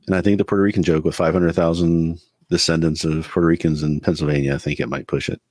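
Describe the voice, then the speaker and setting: A man with an extremely low, deep voice co-hosts a casual political podcast. He gives his predictions in a measured, thoughtful way. He is recorded close, with a dry sound. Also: flat delivery, a little mellow, no echo. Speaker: podcast co-host.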